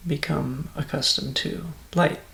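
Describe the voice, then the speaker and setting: A man leads a guided meditation in a very creaky, gravelly voice. He speaks softly and very slowly, soothing and close to a whisper at times. The voice sits in a roomy, echoing space. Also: a little high. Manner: soft-spoken, breathy near-whisper at times, calm and soothing.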